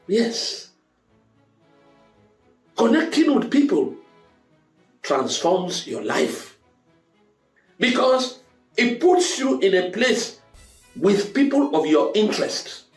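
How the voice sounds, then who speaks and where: A man giving a motivational monologue to his audience in an emphatic, preacherly way, repeating phrases to drive points home. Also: on the high side. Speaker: host of a motivational talk show. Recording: roomy echo, music underneath.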